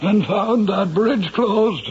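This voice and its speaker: An actor playing a folksy, evasive Irish country innkeeper in an old-time radio drama. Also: male voice, sits high, takes it slowly, a little mellow. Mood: cagey, polite. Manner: evasive, homely. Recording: dull vintage radio recording.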